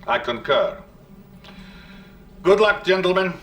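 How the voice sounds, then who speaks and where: An actor playing a gracious, grandly diplomatic Soviet premier in a video game cutscene. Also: male voice, high voice, expressive, takes it slowly, fairly bright tone, exaggerated voice. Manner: formal, gracious. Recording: slightly distant, faint background noise.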